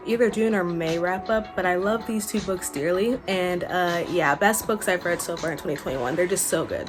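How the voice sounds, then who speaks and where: A young adult woman chatting informally and a bit ramblingly to viewers, as a book vlogger recording in a small furnished room. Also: mid-range voice. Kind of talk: casual chat to viewers.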